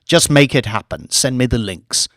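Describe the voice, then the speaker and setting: Audiobook schemer male reader acting: British operative, cool, businesslike, clipped commands, medium pitch, close dry sound. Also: lively intonation, normal speed, fairly bright tone, no room sound, natural voice. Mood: commanding, curt. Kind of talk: audiobook character voice.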